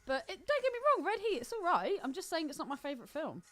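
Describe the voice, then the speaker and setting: A woman's voice: sits high, very animated, fast talker, a bit bright, faint music behind. A woman co-hosting a podcast in casual banter, with a teasing, wry manner. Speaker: podcast co-host.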